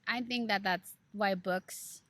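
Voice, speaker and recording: A woman with a bright, clear tone talks through a book passage in a loose, casual way. She rambles and circles back, filling her sentences with "like" and "you know". The talk stays earnest and unscripted. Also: mid-range voice, fairly even intonation, slow pace. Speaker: book club co-host. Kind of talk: casual podcast discussion.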